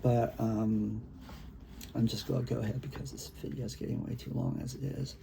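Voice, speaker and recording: A man with a gravelly, creaky voice, a poet talking casually and reading his own work in a halting, conversational way. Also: fairly low pitch, huge pitch swings, background noise. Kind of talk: casual talk and poetry reading.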